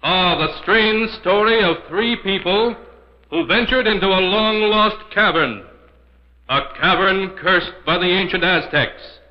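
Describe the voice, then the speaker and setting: A man acting as the ominous, solemn storyteller of a 1940s radio terror series, in a portentous dramatic style on an old muffled recording. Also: high-pitched. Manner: slow, solemn, portentous delivery.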